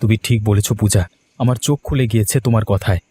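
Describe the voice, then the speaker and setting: A man's voice: fairly low pitch, quick pace, warm tone, breathy edge. A man playing an earnest, wronged and hot-headed villager in a cartoon story, speaking emphatically. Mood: resolved. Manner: emphatic, declamatory. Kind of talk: Bengali cartoon story acting.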